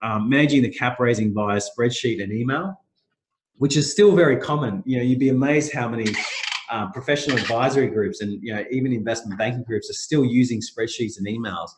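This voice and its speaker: A man's voice: mid-range voice, brisk, rough recording. A middle-aged man with a nasal voice from a sore throat, presenting a business webinar in loose, rambling speech full of restarts. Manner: loose, repetitive phrasing with many restarts and fillers. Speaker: company managing director presenting a webinar.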